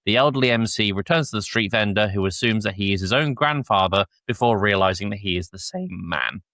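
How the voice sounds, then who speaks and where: A man's voice: fairly low pitch, a little fast, bright, forceful tone, dry recording, studio quality. A man hosting a podcast, chatting casually and recapping episodes in a jokey, informal way. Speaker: podcast host.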